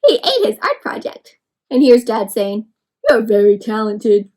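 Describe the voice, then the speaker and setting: A woman with a high voice reads a picture book aloud to young children. She speaks like an encouraging teacher, talking straight to her listeners, asking them questions and explaining words simply. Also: big pitch swings, measured pace, a little mellow. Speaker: read-aloud storyteller for children.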